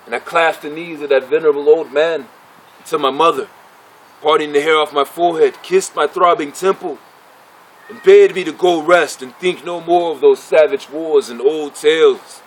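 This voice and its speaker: Gladiator leader male actor, high register, proud and bitter, theatrical monologue delivery, declamatory with weighted pauses. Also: fairly even intonation, natural voice, poor audio. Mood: wistful. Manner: declamatory, formal oratory with deliberate pauses. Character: proud, bitter gladiator chief addressing his fellows.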